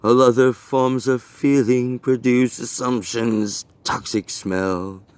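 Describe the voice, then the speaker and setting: A man reading his own rhyming poem aloud in an earnest, deliberate and rhythmic delivery. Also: medium pitch, steady tone, clear, resonant tone. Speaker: poet reading own work. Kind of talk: recited rhyming poetry reading.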